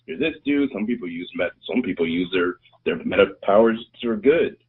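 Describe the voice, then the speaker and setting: A man chatting casually as a podcast co-host, animated and acting out scenes in quoted voices, with a husky voice. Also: fairly low pitch, moderate pace. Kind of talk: casual chat. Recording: tinny phone-like audio.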